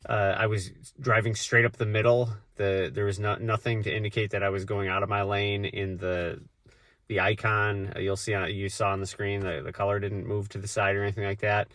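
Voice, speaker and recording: A man's voice: lowish voice, little pitch movement, a little fast, a bit bright, no echo. A man with a cold, talking casually to the camera as a vlogger, rambling with lots of fillers and restarts. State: has a cold, voice deeper than usual. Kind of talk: casual vlog talk.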